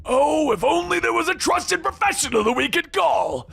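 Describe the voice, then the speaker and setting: A man's voice: extremely high pitch, animated, moderate pace, vocal fry, a bit bright, soft background music, voice pushed up, full character voice. A man voicing a manic, cruel villain clown with a theatrical, taunting manner, in a comic book story. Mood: mock dismay.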